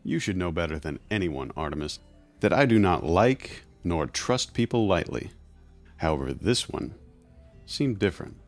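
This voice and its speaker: A man playing a guarded, condescending underground fixer in an audio drama, speaking in a measured, formal way. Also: low voice. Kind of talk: scripted audio drama acting.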